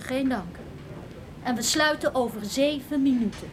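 Casting: touchy young till girl in radio drama, high-pitched, curt and easily offended, clearly noisy old recording. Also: a little slow. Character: young female restaurant cashier, curt, defensive, indignant. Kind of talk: radio play acting.

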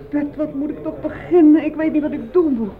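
An actress playing a fearful, distraught soldier's wife with an anxious, lamenting delivery in a radio drama. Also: female voice, high-pitched, steady tone, brisk, lightly acted. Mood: anxious, despairing. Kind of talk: Dutch radio drama acting. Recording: tinny, narrow-band old radio play.

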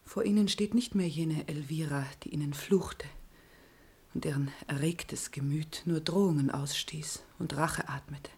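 An actress playing a penitent, devout noblewoman in a classical radio play, earnest and solemn, with a breathy, husky voice. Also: low-pitched, measured pace, a little mellow, full character voice. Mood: solemn tenderness. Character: penitent, devout noblewoman pleading with former lover.

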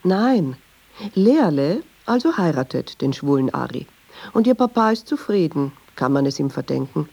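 A woman's voice: low voice, big pitch swings, moderate pace, vocal fry, dark tone, full character voice. An actress playing a candid, uneasy middle-aged woman in a radio drama, speaking reflectively in short, observant clauses. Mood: reflective, insistent. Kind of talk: radio drama dialogue and inner monologue.

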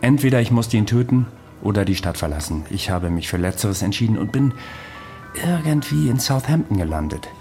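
An ageless raconteur in a radio play. His voice is low and his delivery dry and matter-of-fact, like a patient recounting a long past, with some music behind him. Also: animated, brisk, darkish tone. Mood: dry, weary recollection. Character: Longinus, ageless worldly-wise man recounting his past in therapy. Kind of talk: German radio drama acting.

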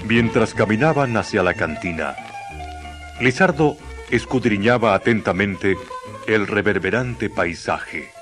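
A man's voice: fairly low pitch, lively intonation, measured pace, music in the background. A man narrating a radio drama in a solemn, literary, dramatic manner.

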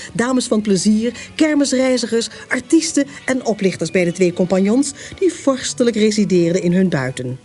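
A woman narrating a radio play in a measured storytelling manner. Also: medium pitch, warm tone.

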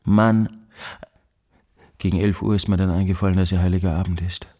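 A man's voice: deep voice, level tone, warm tone. An actor playing an obsessive, tyrannical man fanatically studying hearing in a radio monologue, with intense, emphatic delivery. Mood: matter-of-fact, insistent. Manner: intense, emphatic, drawn-out delivery of key words. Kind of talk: dramatic literary radio monologue.

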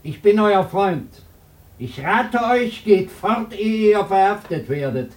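A stern, officious mayor in a radio drama. His voice is strikingly high, and he hands down pompous pronouncements at a slow, cold pace. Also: lively intonation. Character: cold, officious town mayor turning away old friends. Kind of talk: German radio play acting.

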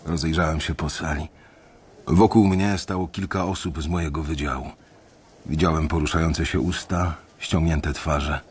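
A man narrating in the first person in a radio drama, measured and reflective. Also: very deep voice, fairly even intonation, husky.

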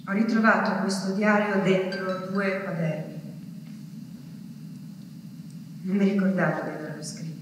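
A woman's voice: medium pitch, fairly even intonation, fairly quick, close to an everyday voice. An actress playing an anguished woman reading from her wartime diary as a stage monologue, in short, intimate, dramatic phrases. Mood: subdued, reflective. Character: anguished woman diarist waiting for someone's return from war. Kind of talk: theatrical monologue from a literary war diary. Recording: very echoey hall, distant mic, faint noise.

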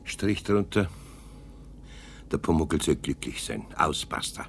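A man playing a gruff but soft-hearted older master craftsman with a Bavarian accent, grumbling and muttering in a children's radio play. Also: very deep voice, expressive, slow, heavily acted. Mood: resigned, grumbling. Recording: noisy 1970s radio play recording.

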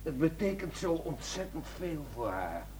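Confused middle-aged husband, higher-pitched, pleading, insistent, radio play, faint noise and hum. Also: male voice, very animated, unhurried, darkish tone. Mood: fixated, withdrawn. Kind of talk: radio drama acting.

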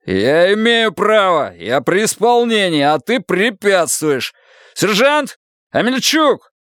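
A man voicing a crazed young male plainclothes drug cop who bullies and shouts threats. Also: high voice, sing-song, unhurried, dry recording, studio quality, pitched above the performer's own voice, exaggerated voice. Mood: shouting, aggressive.

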